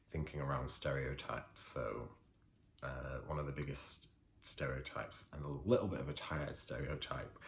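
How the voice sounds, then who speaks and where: A man with a strikingly deep, low voice introduces himself and reads his poetry. He takes his time over every phrase and speaks at a slow, unhurried pace. His introduction is halting and filled with small hesitations. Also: a little hiss or hum. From Leeds, England. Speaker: poet.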